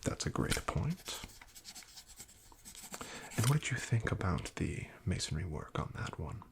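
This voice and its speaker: A man speaking in a breathy, often whispered ASMR style, playing a friendly, unhurried real estate agent. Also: low-pitched, sing-song, slight background noise. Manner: friendly, unhurried, gently attentive salesman. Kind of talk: soft-spoken, whispered ASMR roleplay.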